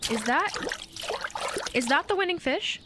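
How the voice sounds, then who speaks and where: Woman acting a gentle small-town mom, faint background noise. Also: high voice, lively intonation, a little slow. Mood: puzzled. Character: gentle, modest small-town young mother, easily confused. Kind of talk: naturalistic radio play acting.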